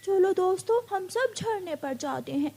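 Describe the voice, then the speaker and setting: A woman's voice: very high-pitched, animated, unhurried, dark tone, exaggerated voice. A woman voicing a young boy bird in a cartoon, a bossy, whiny brother with a childlike delivery. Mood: annoyed, bossy.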